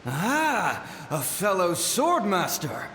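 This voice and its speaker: Boisterous game swordsman ghost: high for a male, very slow, echoing over music. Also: huge pitch swings, sharp tone, a little hiss or hum, full character voice. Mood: eager, challenging. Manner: theatrical, boisterous. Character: exuberant male swordsman spirit, boisterous and battle-hungry. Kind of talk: anime video game voice acting.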